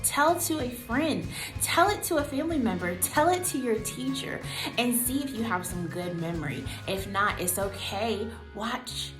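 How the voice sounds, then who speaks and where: A woman reading aloud as a teacher, telling a children's story in an expressive, deliberate way. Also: fairly high pitch, music in the background. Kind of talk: animated children's storybook narration.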